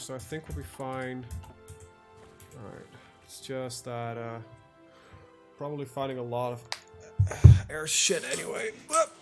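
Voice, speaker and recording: A man's voice: mid-range voice, vocal fry. A young man, rambling through casual gameplay commentary with lots of fillers. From Netherlands. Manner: rambling, chatty, trails off with fillers. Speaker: gaming video host.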